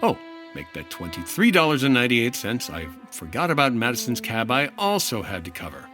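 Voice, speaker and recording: Hard-boiled radio investigator: dry, clipped, wry, very animated mid-range voice, faint music underneath. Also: male voice, medium pitch, fairly quick. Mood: dry, businesslike. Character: hard-boiled 1950s insurance investigator, wry, persistent man. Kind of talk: 1950s radio detective acting and narration.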